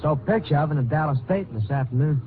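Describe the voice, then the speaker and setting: An actor playing an easygoing, folksy bus driver who talks in an offhand way in a 1950s radio drama. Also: male voice, on the high side, animated, very fast, music in the background, natural voice. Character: easygoing, talkative male bus driver, casually unbothered. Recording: tinny, noisy old radio recording.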